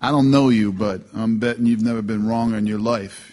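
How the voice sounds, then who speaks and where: A man's voice: lowish voice, fairly even intonation, normal speed, darkish tone, lightly acted. An actor playing a plain-spoken, easygoing working man on a jury in a radio drama, casual and blunt.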